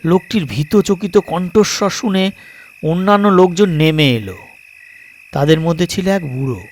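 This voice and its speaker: A man reading a suspense story as a dramatic audiobook narrator, in measured, deliberate phrases with pauses. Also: high-pitched.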